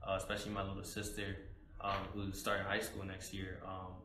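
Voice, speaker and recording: Young male poet guest, a little hoarse, low voice, loose chatty delivery, echoey room with faint hiss. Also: a little fast. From United States. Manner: relaxed, rambling with many fillers. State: slightly hoarse. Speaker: spoken word poet guest. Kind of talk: casual interview talk.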